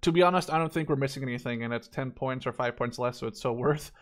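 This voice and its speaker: A man's voice: mid-range voice, fast talker. A man in his twenties, a native Dutch speaker, giving a casual unscripted game review, thinking aloud and repeating words for emphasis. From Netherlands. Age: 20s. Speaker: gaming YouTuber.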